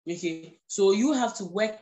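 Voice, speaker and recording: A woman's voice: medium pitch, normal speed, dark tone. A woman with a Ghanaian accent, for whom English is not her first language, gives a patient live-streamed talk, repeating key points and checking in with "okay". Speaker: guest speaker on mental health.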